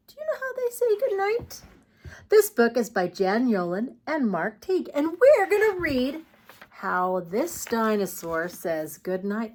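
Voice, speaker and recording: Slow, sing-song woman reading to young children. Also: a little high, a little mellow. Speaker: children's storytime host. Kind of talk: reading picture books aloud to young children.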